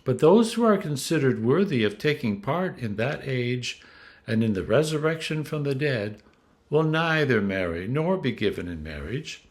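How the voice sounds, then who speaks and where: A man reading scripture as a clergyman, with a measured, dramatic storytelling delivery. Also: mid-range voice, sing-song.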